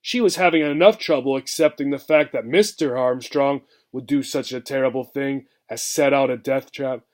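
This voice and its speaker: A man reading a children's book aloud with expressive narration. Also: mid-range voice, moderate pace.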